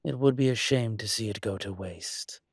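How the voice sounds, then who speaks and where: A man playing a suave, teasing dragon mafia boss in a scripted romantic audio roleplay, speaking deliberately. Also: lowish voice, breathy edge, no room sound, clean studio sound. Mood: warm approval.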